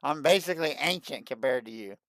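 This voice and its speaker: A man in his late thirties hosting a livestream, chatting casually and excitedly, and often repeating himself. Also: medium pitch, fairly quick, no room sound. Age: 30s. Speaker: livestream host. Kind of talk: casual chat.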